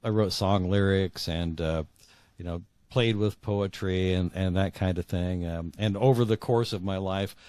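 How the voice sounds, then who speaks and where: A man's voice: deep voice, fairly even intonation, darkish tone, clean tone. A middle-aged man being interviewed as an author, telling his personal story reflectively, often repeating words as he feels his way forward. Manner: halting, repeats words for emphasis.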